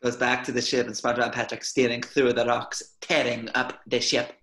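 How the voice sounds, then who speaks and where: A man's voice: mid-range voice, level tone, a little fast, a bit bright. A man reading stage directions as scripted narration at a table reading.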